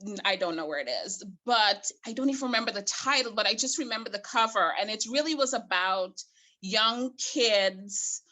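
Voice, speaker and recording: Bright-voiced woman from Guyana. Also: medium pitch, lively intonation, moderate pace. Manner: hesitant, thoughtful, many fillers and restarts. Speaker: guest, librarian. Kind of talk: casual interview chat.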